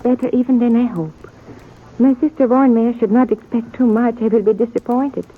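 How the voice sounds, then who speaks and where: Woman acting as stubborn bride, highish husky voice, broken english, old muffled radio western. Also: fairly quick, natural voice. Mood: fervent. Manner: broken English, emphatic and plain-spoken. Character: earnest, stubborn, trusting immigrant mail-order bride. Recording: thin, muffled vintage radio recording.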